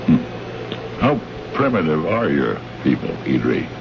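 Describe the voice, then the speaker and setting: An actor playing an impatient, dismissive space trade negotiator in a vintage radio drama, blunt and sardonic with hesitant interjections. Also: male voice, very deep voice, sing-song, unhurried, full character voice. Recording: noisy, dull old radio broadcast recording.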